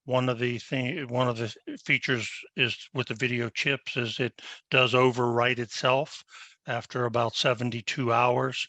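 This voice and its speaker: A man on a webinar panel answering audience questions over a video call, measured and a little apologetic. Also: mid-range voice, bright, forceful tone, no echo.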